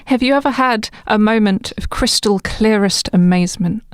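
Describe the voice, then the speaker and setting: A woman, an articulate, measured guest poet speaking on a poetry podcast. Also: mid-range voice, clean tone, no room sound. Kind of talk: podcast discussion and spoken-word poetry reading.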